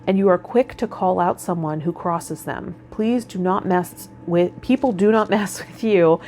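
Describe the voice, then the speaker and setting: Female spiritual vlogger, slightly low register, informal and reflective direct address, faint background noise. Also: measured pace, darkish tone. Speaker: tarot vlogger and host. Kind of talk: casual spiritual vlog talk, partly reading card meanings.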